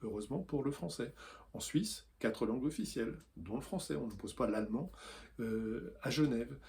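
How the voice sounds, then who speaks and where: A man's voice: mid-range voice, expressive, normal speed, gravelly, darkish tone. A man from France presenting an opinion video for his newsletter, talking earnestly with frequent hesitations. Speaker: newsletter columnist.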